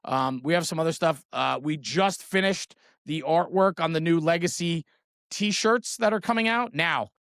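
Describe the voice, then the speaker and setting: A man's voice: a little high, moderate pace, fairly bright tone, no echo, studio quality. A middle-aged man in a casual, enthusiastic show-and-tell chat, speaking informally with slang and often checking for agreement. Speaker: guest, trading card brand founder.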